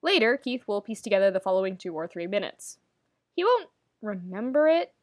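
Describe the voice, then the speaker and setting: A woman's voice: mid-range voice, very animated, brisk, no room sound. Woman narrating a story, close and dry. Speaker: podfic narrator reading fan fiction. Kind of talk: scripted story narration with dramatic pauses.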